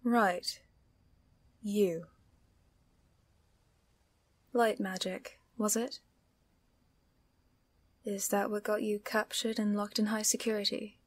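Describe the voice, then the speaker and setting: A woman's voice: medium pitch, little pitch movement, takes it slowly, long pauses. A British woman playing a sly, teasing supervillain in an audio roleplay, wry, knowing and probing.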